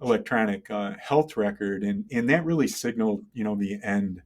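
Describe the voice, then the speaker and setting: A middle-aged man speaking as an interview guest, conversational and halting, thinking aloud and often checking in with the listener. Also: lowish voice. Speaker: interview guest, tech entrepreneur and engineer. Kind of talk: conversational interview, telling career story.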